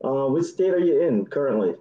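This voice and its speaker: A man in his thirties with a Jamaican accent, chatting on a video call as a dating show contestant, soft-spoken with nervous laughs. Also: medium pitch, very animated, brisk, roomy sound, muffled recording. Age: 30s. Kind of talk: casual video call chat.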